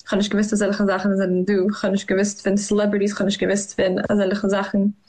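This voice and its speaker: A young woman, answering interview questions in a halting way, with many fillers and restarts. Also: medium pitch, monotone, darkish tone. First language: Yiddish. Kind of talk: hesitant conversational interview.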